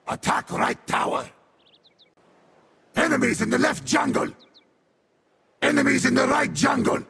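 A man playing a sadistic, vengeful infernal beast warrior in a video game, with a harsh demonic growl and theatrical, deliberate delivery. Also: extremely high pitch, gravelly, a bit bright, slight background noise, heavily acted. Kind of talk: video game character voice lines.

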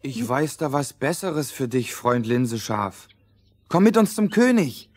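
An actor playing a cheeky, scrappy little man, bold and excitable, in a fairy-tale audio play. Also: mid-range voice, unhurried, breathy edge. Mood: eager. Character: cheeky, scrappy little man recruiting rebels against a king.

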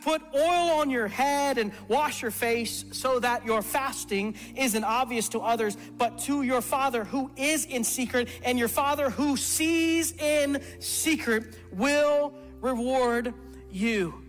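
A man's voice: very high voice, unhurried. A middle-aged man preaching a sermon as pastor, emphatic and repeating words for stress. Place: church stage before a congregation. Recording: reverberant hall with soft music.

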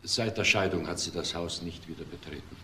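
A man's voice: low voice, brisk, sharp tone. A man playing a reserved, informative character in a crime radio drama, recorded in a roomy space. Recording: roomy echo, faint noise.

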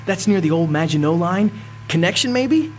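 A man's voice: high voice, expressive, moderate pace, faint music behind. A man playing an earnest, determined teenage boy hero in a comic audio drama, youthful and principled. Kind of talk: dramatized comic audio acting and inner narration. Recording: faint noise with clicks.

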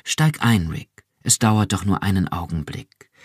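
A man's voice: low-pitched, expressive, slow pace, no echo, studio quality, full character voice. A man voicing an earnest young wife who pleads insistently and fervently.

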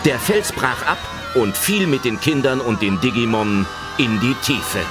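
A man's voice: medium pitch, unhurried, natural voice. A man as the dramatic storyteller of a German children's adventure audio drama, reading scripted narration. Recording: loud music under the narration.

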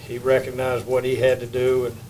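An older man, a baseball head coach, answering questions at a postgame press conference in a plain, measured way at a table microphone. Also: mid-range voice, completely flat delivery, a little mellow, a little hiss or hum, low-quality recording. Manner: measured, plain-spoken, many restarts. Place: press room. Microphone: table mic.

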